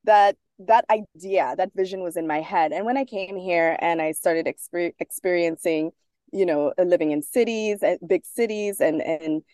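A woman from Trinidad, a guest author speaking in a relaxed interview, thoughtful and sprinkled with fillers and restarts. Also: lowish voice, normal speed, no room sound, studio quality. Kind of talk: casual interview talk.

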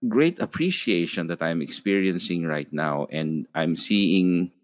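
A man speaking in a slow, halting, reflective way during an interview. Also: deep voice. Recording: long hall reverb.

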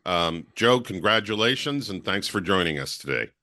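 A man co-hosting a podcast, speaking in a measured, articulate way. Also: low-pitched, animated, sharp tone, no room sound, clean studio sound. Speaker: podcast co-host.

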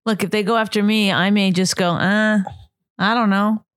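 A woman with a mid-range voice chats casually, cheering on her co-host with quick replies. Her voice sounds close and dry, with no room echo. She talks plainly and easily. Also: mid-range voice, fairly even intonation. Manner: supportive, frequent backchannel. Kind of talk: casual chat.

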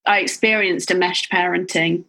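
A woman's voice: on the low side, steady tone, normal speed, bright, forceful tone, poor audio. A woman hosting a podcast interview, sharing personal reflections openly. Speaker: podcast host. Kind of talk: conversational interview.

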